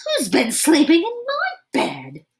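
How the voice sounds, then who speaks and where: A woman voicing an indignant mama rhino who shouts. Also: extremely high pitch, wildly swooping pitch, slow pace, pitched above the performer's own voice, heavily acted.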